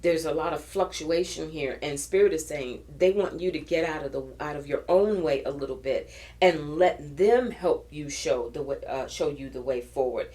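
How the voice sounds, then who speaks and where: A woman giving an earnest, encouraging tarot reading, her voice a little raspy from recording early in the morning. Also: low-pitched, moderate pace, dark tone. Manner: earnest, encouraging, spiritual phrasing with many restarts. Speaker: tarot reader. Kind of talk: pick-a-card tarot reading.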